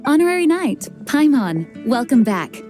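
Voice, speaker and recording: A woman's voice: high voice, very animated, measured pace, over music. A woman playing a dutiful, gracious young knight commander in a video game, polite and earnest. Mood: gracious, composed.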